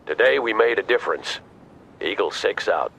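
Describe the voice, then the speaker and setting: An actor playing a stern, demanding American military commander, speaking in clipped orders in a video game. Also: male voice, lowish voice, lively intonation, normal speed, vocal fry, a bit bright, rough recording. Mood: grave, measured. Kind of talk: video game cutscene acting. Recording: battlefield vehicle noise behind voice.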